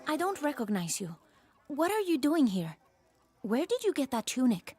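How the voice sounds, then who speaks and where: A woman's voice: sits high, very animated, moderate pace, darkish tone. A woman playing a wary, deferential townswoman in a video game. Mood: suspicious. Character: wary local townswoman, turning humble and deferential. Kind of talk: video game dialogue acting.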